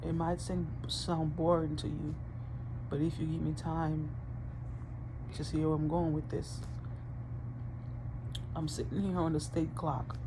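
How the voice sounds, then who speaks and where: A woman with a Dominican accent talks at length from her post on the job, her voice gravelly and fry-laden. She keeps a flat, level delivery, often stopping and restarting her sentences to stress a point. A steady hum sits loud behind her. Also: deep voice. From Dominican Republic. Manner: halting, restarts often, emphatic asides. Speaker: corrections officer. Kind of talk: candid personal monologue. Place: hospital post, at work.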